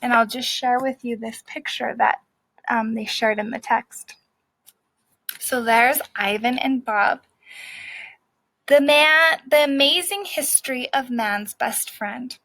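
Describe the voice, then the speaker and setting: A woman's voice: on the high side, a bit bright, no room sound, low-quality recording. A woman teacher reading a book aloud and stopping to explain the story to young children. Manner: pauses to explain the story to the class.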